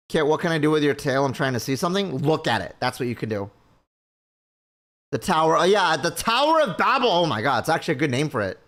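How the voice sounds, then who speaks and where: Higher-voiced man rambling on stream. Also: fairly bright tone. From Mexico. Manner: rambling, thinking aloud with many restarts. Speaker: livestream host. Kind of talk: casual chat with viewers.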